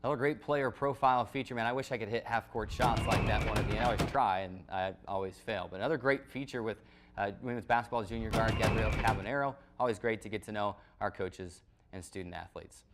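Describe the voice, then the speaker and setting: A man's voice: on the low side, fast, over loud music. An American man presenting a college sports recap show as its host, in the manner of a play-by-play announcer.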